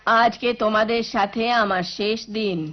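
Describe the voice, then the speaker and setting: An actress voicing a stern, impatient village schoolteacher who scolds and commands in a cartoon. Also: female voice, mid-range voice, steady tone, moderate pace, close to an everyday voice. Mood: strict, instructing. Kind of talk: cartoon voice acting. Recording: muffled lo-fi cartoon soundtrack, faint music.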